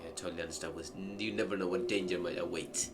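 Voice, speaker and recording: A man's voice: low-pitched, fairly even intonation, very fast, very noisy. A man giving casual playthrough commentary, chatty and reacting aloud to a story with questions. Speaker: gaming content creator playing a visual novel. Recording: music playing behind the voice. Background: game music.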